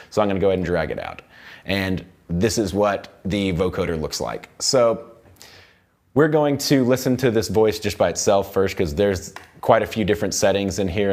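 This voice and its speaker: An American man in his thirties giving an informal software tutorial as an instructor, often repeating and rephrasing himself as he goes. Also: low voice. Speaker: audio production instructor. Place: recording studio control room.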